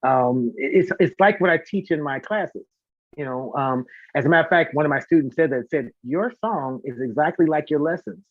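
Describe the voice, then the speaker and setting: A man's voice: a little high, fairly quick, dry recording. Chatty man on dull lo-fi audio. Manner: talkative, animated storytelling with acted-out quotes and demonstrations. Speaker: guest, singer and voice teacher. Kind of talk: casual live chat interview.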